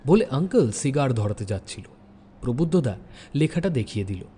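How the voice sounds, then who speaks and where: An actor playing a detective's observant young sidekick, narrating an audio story in a reflective, expressive way. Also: male voice, medium pitch, moderate pace, a little hiss or hum, close to an everyday voice.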